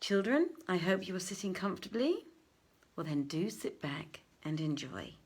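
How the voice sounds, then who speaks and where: A woman hosting a live storytelling session, speaking to her audience with deliberate articulation and emphatic phrasing. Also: on the low side, sing-song, slightly rough. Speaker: theatre director hosting a live reading. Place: live video stream.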